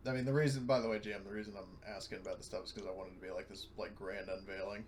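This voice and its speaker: A man's voice: low-pitched, fairly even intonation, very fast. A man talking casually as a player in a tabletop roleplaying game. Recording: clear background noise.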